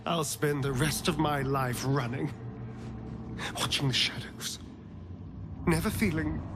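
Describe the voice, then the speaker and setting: An actor playing a bitter, sardonic, vengeful vampire in a video game, with a haughty, theatrical delivery. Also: male voice, mid-range voice. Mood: fearful, determined. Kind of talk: cinematic video game voice acting.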